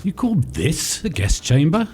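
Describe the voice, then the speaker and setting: An actor playing a lost gentleman traveller in a radio drama, formal, polite and theatrical. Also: on the high side, huge pitch swings, unhurried. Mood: polite, uneasy. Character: lost gentleman traveller, well-mannered, excitable sceptic. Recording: clear background noise.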